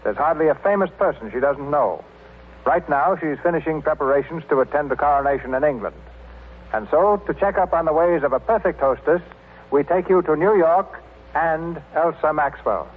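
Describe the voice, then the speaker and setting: A man's voice: on the high side, normal speed, breathy edge. A man announcing a 1930s radio programme in a formal, showmanlike scripted style. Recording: tinny 1930s radio recording.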